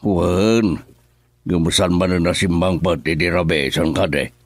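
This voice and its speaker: An actor playing a stern older father in a radio drama, gruff and commanding, with an Ilocano accent. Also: deep voice, fairly even intonation, slow pace, dry recording. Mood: worried. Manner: gruff and commanding, shouting in anger. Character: stern older father angry at son-in-law.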